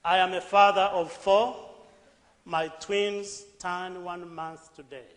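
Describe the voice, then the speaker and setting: A middle-aged Ugandan man with a Ugandan accent, giving an earnest, deliberate public talk with rising emphatic bursts. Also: high voice, fairly even intonation. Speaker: speaker. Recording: echoey hall with long reverb.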